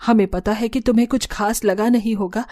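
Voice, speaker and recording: A woman's voice: on the high side, steady tone, fairly quick, dark tone, natural voice. A woman voicing a humble middle-aged mother-in-law in a cartoon, motherly and apologetic. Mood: welcoming, apologetic. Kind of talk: Hindi cartoon story dialogue.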